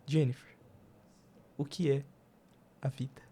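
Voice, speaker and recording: Male podcast host, Brazilian accent, conversational interviewer with deliberate, segmented phrasing. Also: mid-range voice, level tone, mellow, breathy edge.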